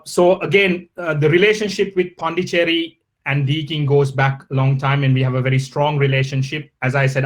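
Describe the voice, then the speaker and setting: Sri male guest professor, video-call lecture, mid pitch, polite and formal. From Sri Lanka. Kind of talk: online lecture.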